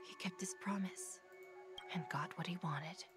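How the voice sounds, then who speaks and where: A woman playing an elegant, cunning, composed financier in a video game, measured and theatrical. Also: fairly low pitch, natural voice. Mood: coolly sinister. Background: loud game music.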